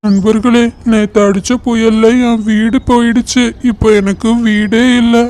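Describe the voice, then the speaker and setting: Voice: mid-range voice, flat delivery, measured pace, darkish tone, close to an everyday voice. A performer voicing a worried, scheming crow in a children's cartoon story. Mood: distressed.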